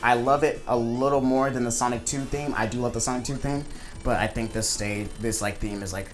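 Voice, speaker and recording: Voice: medium pitch, steady tone, fairly quick. Someone giving casual, gushing video game commentary in an enthusiastic, emphatic, rambling way. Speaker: video creator reviewing a game. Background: game music.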